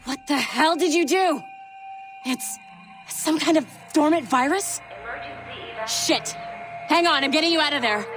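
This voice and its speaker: An actress playing a brisk, sarcastic young security contractor in a video game, bossy and snarky. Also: female voice, sits high, music in the background. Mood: urgent.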